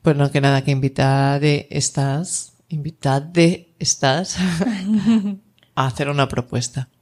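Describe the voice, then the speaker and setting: A woman's voice: a bass voice, measured pace, a little mellow. A woman hosts a radio show in easy, casual chat. She laughs often and trips over her words, restarting phrases as she goes.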